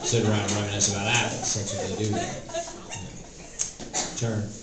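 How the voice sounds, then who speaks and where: Dry, halting stand-up man in his thirties. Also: lowish voice, level tone, over a noisy crowd. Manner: dry, hesitant delivery with many "uh" and "like". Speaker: stand-up comedian. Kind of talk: stand-up comedy set. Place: comedy club stage. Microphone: stage microphone. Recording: roomy club sound from a distance, audience noise. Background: audience laughter.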